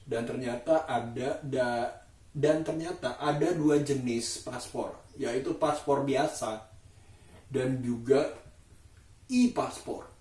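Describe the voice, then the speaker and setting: A man from Indonesia, chatting casually to camera as a solo vlogger in a halting, deliberate way with drawn-out pauses. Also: mid-range voice, a little mellow. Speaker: daily vlogger and host. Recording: roomy echo, distant microphone.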